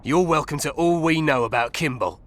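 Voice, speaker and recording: Bright-voiced, coarse thug, acted by a man. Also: fairly high pitch, normal speed, slight background noise, natural voice. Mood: grudging. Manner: crude, blunt, colloquial. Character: coarse, foul-mouthed male hired thug, cocky and defiant. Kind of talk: video game voice acting.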